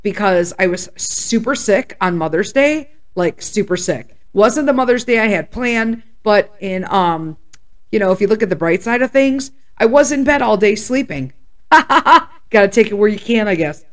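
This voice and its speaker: Hoarse, chatty woman in her fifties. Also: medium pitch, normal speed. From Chicago, USA. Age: 50s. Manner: chatty and candid, with bursts of excited whoops. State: slightly hoarse and congested. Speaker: podcast host. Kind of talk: casual solo podcast chat.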